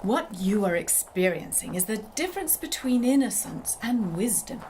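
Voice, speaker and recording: A woman playing a wise, cryptic sorceress mentor in a theatrical drama, measured, poetic and instructive. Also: mid-range voice, big pitch swings, darkish tone, slight background noise, natural voice, poor audio. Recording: music under the voice.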